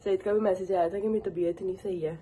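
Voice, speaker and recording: A woman's voice: mid-range voice, quick pace, slightly rough. Chatty woman vlogger with flu. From Pakistan. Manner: chatty, complaining, animated when ranting. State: sore throat, has flu. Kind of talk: casual vlog chat to camera.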